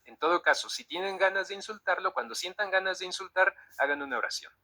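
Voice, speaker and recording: Forceful, raspy man moderating. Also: high-pitched, quick pace. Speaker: host and moderator of a live religious debate. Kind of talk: spoken moderation, addressing preacher and audience. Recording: live internet stream.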